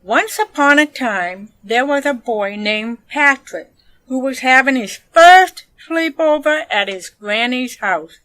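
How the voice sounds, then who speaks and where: A woman narrating a children's story expressively, with deliberate, dramatic phrasing. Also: high-pitched, lively intonation, sharp tone, dry recording, low-quality recording. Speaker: storybook reader.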